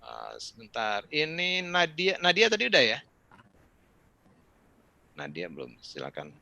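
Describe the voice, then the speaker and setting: A middle-aged man from Indonesia giving relaxed, conversational advice over a video call, with fillers and asides. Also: fairly high pitch, measured pace, gravelly, slight background noise. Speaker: speaker answering questions in an online session. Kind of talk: casual talk and advice.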